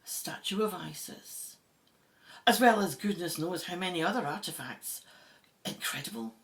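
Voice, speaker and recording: An actress in an audio drama playing a brisk, commanding Egyptologist who leads a dig and marvels at what she sees. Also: fairly low pitch, fairly bright tone. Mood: awed.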